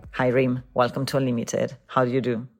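A woman's voice: very deep voice, little pitch movement, brisk, loud music behind the voice. A woman hosting a podcast, delivering a formal scripted introduction and then asking interview questions. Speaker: podcast host.

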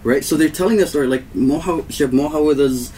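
A man's voice: a little high, a little fast, dark tone, slight background noise. A man co-host making a loose, rambling point in a podcast chat, packed with "like". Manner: rambling, with many "like"s. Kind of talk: casual podcast conversation. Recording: voice caught from a distance.

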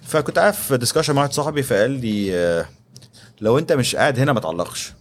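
A man's voice: medium pitch, animated, rapid-fire. A man in his thirties with an Egyptian accent, telling his story conversationally as an interview guest and mixing English business terms into his speech. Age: 30s. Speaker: podcast guest, startup executive.

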